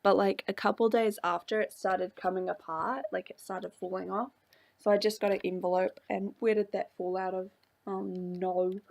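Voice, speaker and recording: A young woman vlogger chatting casually about her journal pages, rambling with many fillers and little laughs, her voice slightly hoarse from a coming cold. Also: medium pitch, steady tone, normal speed, darkish tone. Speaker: craft vlogger. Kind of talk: casual chat showing a journal.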